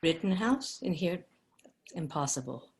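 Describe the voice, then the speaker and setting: A woman's voice: low voice, lively intonation, a little slow, noisy background. A woman voicing a stern, protective female federal agent in charge in a drama read, firm and emphatic. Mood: curt, guarded. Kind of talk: scripted drama table read.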